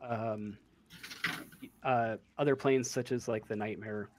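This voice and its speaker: A man working as game master, improvising narration for a tabletop role-playing game in a halting way, searching for words. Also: on the low side, fairly even intonation, background noise.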